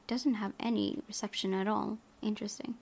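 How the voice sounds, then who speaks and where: A woman's voice: medium pitch, steady tone, a little fast, gravelly, a little mellow, husky. A woman hosting a gaming video, reading visual novel text aloud with casual asides.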